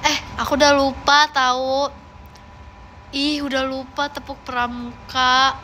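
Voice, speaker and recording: A woman's voice: high voice, level tone, unhurried, pushed and bright, slight background noise. A woman of nineteen, chatting casually and playfully on a livestream, often repeating words as she answers viewers. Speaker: idol livestream host.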